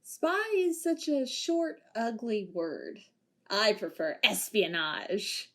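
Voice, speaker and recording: A woman's voice: mid-range voice, lively intonation, slow pace. A woman in an amateur audio drama playing a wry ship security guard who makes dry, playful quips.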